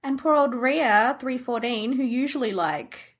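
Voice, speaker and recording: A woman's voice: high voice, a little slow, slightly rough. A woman co-hosting a podcast in an informal, chatty style. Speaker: podcast co-host. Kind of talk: casual chat.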